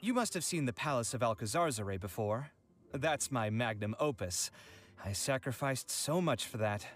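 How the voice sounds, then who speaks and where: A man's voice: mid-range voice, animated, normal speed, lightly acted. Guy doing a proud artsy architect game character, well spoken, earnest, bit of music behind. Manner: articulate, earnest, self-assured. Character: proud, refined young male architect and scholar. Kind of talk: video game character voice lines.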